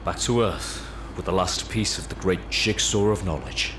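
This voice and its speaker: A man's voice: low voice, moderate pace, background noise, lightly acted. A man playing a cocky, wry space pilot with a sense of wonder in a scripted audio drama. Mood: cocky, playful. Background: music under the voice.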